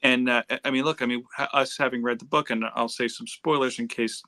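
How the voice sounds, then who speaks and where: A man with a mid-pitched, bright and forceful voice chats as a livestream panelist. He talks casually and tends to ramble into side stories. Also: steady tone, fairly quick, no room sound. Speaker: panelist on a comics discussion stream. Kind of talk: casual chat. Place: remote group livestream.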